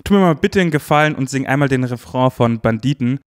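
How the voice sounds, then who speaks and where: Guy asking interview questions, teasing and upbeat, mid pitch. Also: expressive, fast. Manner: teasing, enthusiastic, cheers her on.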